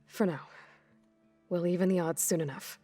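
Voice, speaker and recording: An actress playing a practical, quietly determined young woman warrior in a video game, speaking briefly and plainly. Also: fairly low pitch, moderate pace, soft background music, lightly acted. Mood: steady, reflective. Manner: plain-spoken, brief, probing. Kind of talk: video game cutscene dialogue.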